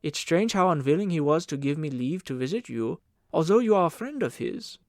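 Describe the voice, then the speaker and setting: A man's voice: a little high, animated, fairly quick, a little mellow, dry recording, studio quality. A man reading an audiobook as an earnest, questioning young nephew with a wondering manner. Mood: earnest, puzzled. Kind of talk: audiobook character dialogue.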